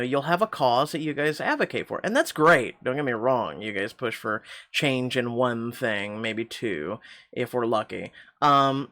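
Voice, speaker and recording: A man's voice: mid-range voice, a bit bright. A middle-aged man with a slightly tight throat, reading a story game aloud and rambling with wry asides and many fillers. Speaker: game channel host.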